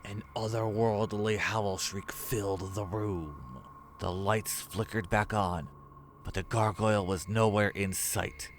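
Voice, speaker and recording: A man's voice: lowish voice, fairly even intonation, slow, a little hiss or hum. A man narrating a scripted horror story as its storyteller.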